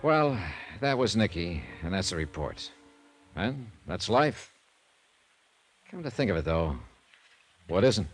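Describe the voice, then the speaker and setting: An actor playing a cool, wry insurance investigator, terse and world-weary in a 1950s radio detective style. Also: male voice, on the low side, big pitch swings, takes it slowly, pauses often, faint music behind. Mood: dry, wry. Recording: noisy 1950s radio recording.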